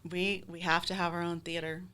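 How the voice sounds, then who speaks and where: Low-voiced woman, earnest and conversational. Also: level tone, fairly quick, bright, forceful tone, clear, resonant tone. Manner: earnest, heartfelt. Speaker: community theater leader being interviewed. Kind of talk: conversational interview answers.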